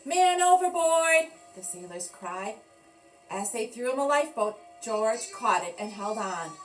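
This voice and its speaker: Woman storybook reader: slow, expressive delivery, slightly distant mic with music behind. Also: medium pitch. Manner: expressive, deliberate storytelling with dramatic pauses. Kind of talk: children's picture-book read-aloud.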